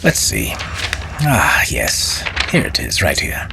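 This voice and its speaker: A man's voice: sits low, huge pitch swings, slow, creaky, noisy background. A man narrating a fantasy tale as a storyteller, with dramatic, theatrical and courtly phrasing.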